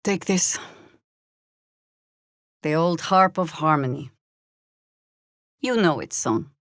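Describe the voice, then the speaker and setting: A woman playing a seasoned, wry, world-weary warrior druid in a video game, speaking in a dry, sardonic, measured way. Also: sits low, lively intonation, a bit bright, no echo, full character voice. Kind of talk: dramatic video game character dialogue.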